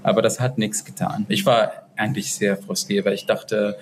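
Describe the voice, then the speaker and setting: Man from Israel, non-native speaker, lowish voice, halting interview answers, café noise on a remote link. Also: flat delivery. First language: not German. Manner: halting, searching for words in non-native German. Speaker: interview guest, social psychologist. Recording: noisy café over remote connection. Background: café background noise.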